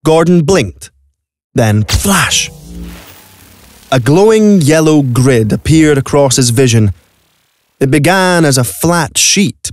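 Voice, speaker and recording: A man's voice: mid-range voice, a little mellow, no room sound. A man narrating a scripted story in a dramatic storytelling style, recorded in a studio.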